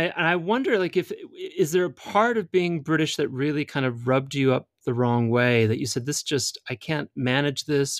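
A man's voice: medium pitch, dry recording. Man, American accent, podcast host, teasing, rambling, dry close mic. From United States. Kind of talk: casual interview chat.

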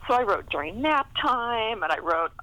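A woman's voice: fairly high pitch, big pitch swings, normal speed, bright, forceful tone, no room sound. A middle-aged American woman chatting casually and telling anecdotes as an interview guest. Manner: chatty, laughs often. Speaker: interview guest, historical fiction author.

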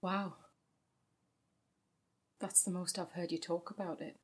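A woman's voice: lowish voice, flat delivery, a little slow, natural voice. An actress playing a practical, self-controlled grieving sister and mother in a naturalistic audio drama. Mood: dry, careful. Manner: understated, dry humour. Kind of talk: naturalistic audio drama acting, comedy and pathos.

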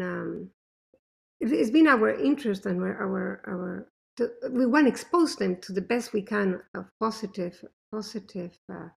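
A woman with a thick non-native accent and a gravelly, creaky voice, speaking in an interview. She tells family stories in an animated, emphatic way. Her pitch sits in a middle range for a woman. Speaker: interview guest, mother. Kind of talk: conversational interview.

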